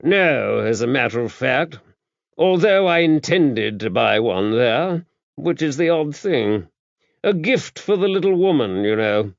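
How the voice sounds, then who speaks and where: A pompous older English aristocrat, played by a male audiobook reader, pitched up from the narrating voice. His upper-class accent carries a self-important, drawling manner full of old-fashioned phrasing. The sound is a little dull and close, with no room around it. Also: expressive, unhurried, creaky, dry recording. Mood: breezy, rueful.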